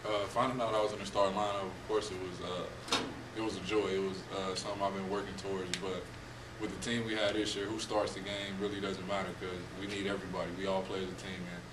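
A man's voice: deep voice, little pitch movement, quick pace. A young man who plays college basketball, answering press questions in a plain, casual way. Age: young adult. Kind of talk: postgame press conference answers. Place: press room at a table before a team backdrop. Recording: echoey room, distant mic, noisy.

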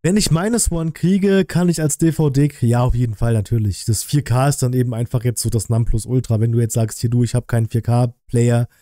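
Very mellow, dry-sounding man streaming. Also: mid-range voice, steady tone, fairly quick, hardly any pauses, no room sound. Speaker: streamer, reaction host. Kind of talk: casual livestream commentary on videos. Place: indoor room at home. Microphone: close streaming microphone.

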